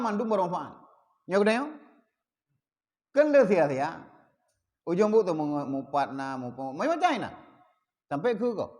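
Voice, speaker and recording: A man with an Acehnese accent teaching a religious study group, slowing down to chant Arabic passages and asking listeners rhetorical questions. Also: sits high, long reverb tail. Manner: mixes plain explanation with slow chanted Arabic readings; asks the audience rhetorical questions. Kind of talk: kitab study lesson. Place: prayer hall.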